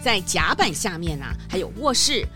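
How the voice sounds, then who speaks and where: A woman narrating a scripted children's story in an expressive storytelling manner. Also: fairly low pitch, normal speed, over music.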